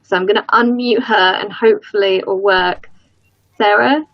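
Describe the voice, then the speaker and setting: A woman's voice: medium pitch, steady tone, moderate pace, vocal fry, dry recording. A woman hosting a casual livestream panel over a video call, chatty and self-deprecating. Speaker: livestream host. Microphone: computer mic on a video call. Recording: dull, lo-fi.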